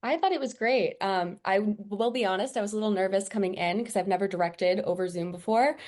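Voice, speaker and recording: A woman's voice: medium pitch, fast talker, a little mellow. A woman answering casually and enthusiastically as an interview guest.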